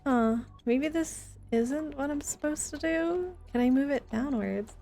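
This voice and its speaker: Woman game streamer, warm mellow tone, casual and chatty, reacting to chat, faint music behind. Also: high voice, measured pace, creaky. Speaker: video game livestreamer. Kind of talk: casual livestream chat while gaming.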